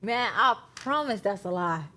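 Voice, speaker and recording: A woman's voice: mid-range voice, expressive, unhurried, pushed and bright, a little hiss or hum. A woman in her thirties with an American accent, chatting emphatically on a panel and repeating herself to drive points home. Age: 30s. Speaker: talk show panelist. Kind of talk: casual panel discussion.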